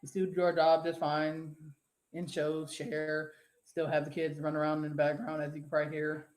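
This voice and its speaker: A woman's voice: low voice, completely flat delivery, normal speed, darkish tone, echoey room. A woman co-hosting a casual live show, reading out viewer comments in a rambling, profane way and laughing easily. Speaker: co-host.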